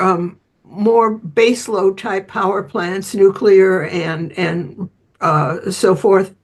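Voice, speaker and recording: A woman answering interview questions as an expert author, explaining conversationally and voicing short imagined quotes as she goes. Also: mid-range voice.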